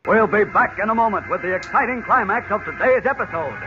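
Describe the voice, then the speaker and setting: A high-voiced man announces like an old-time serial. The recording is dull and lo-fi, with loud music behind him. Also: expressive, brisk.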